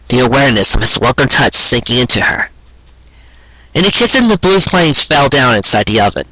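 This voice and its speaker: A man's voice: medium pitch, bright, forceful tone, breathy edge, dry recording, rough recording. A man narrating a scripted story in an earnest, sentimental manner. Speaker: narrator reading fan fiction.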